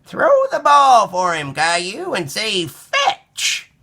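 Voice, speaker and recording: A man's voice: high-pitched, huge pitch swings, takes it slowly, bright, forceful tone, voice pushed up, exaggerated voice. A man voicing a kindly, cheerful grandmother who encourages a small child in a storybook. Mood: excited, encouraging.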